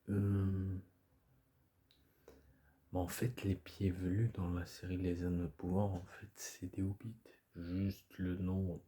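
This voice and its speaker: A man talks casually to camera, hoarse and stuffy from a cold. His voice sits very low and he speaks slowly, stopping and restarting often. The chat wanders, full of fillers. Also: mellow. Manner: hesitant, rambling, many fillers and restarts. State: hoarse, stuffy-nosed, coughing. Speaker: video creator.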